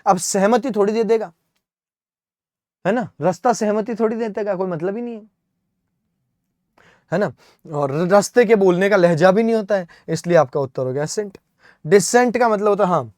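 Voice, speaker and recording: A man's voice: sits high, darkish tone, no room sound, clean studio sound. A man with an Indian accent teaching English vocabulary for an exam, spelling words out letter by letter and often checking in with "है ना?". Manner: explains step by step, spells words letter by letter, keeps asking "है ना?". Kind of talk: exam-prep vocabulary lesson.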